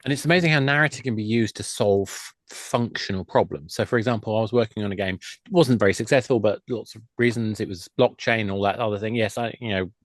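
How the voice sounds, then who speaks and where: A man's voice: fairly low pitch, fast, few pauses, no room sound. A middle-aged man speaking as a podcast guest, chatting in a self-deprecating, conversational way about his work. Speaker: podcast guest, games industry expert. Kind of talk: conversational interview talk.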